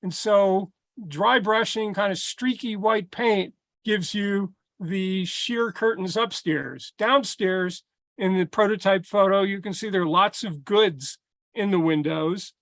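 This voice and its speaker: A man casually explaining how he built a model, in a relaxed, explanatory way with a few fillers. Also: sits high, slow pace, creaky, no echo. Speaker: hobbyist presenting his model. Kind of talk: casual show-and-tell explanation.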